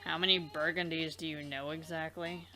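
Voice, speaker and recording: A woman's voice: deep voice, little pitch movement, normal speed, sharp tone, soft background music. A woman voicing a blunt, defensive young female alien cleaner in an amateur visual novel dub, curt and foul-mouthed. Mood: irritated. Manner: irritable, curt, profane.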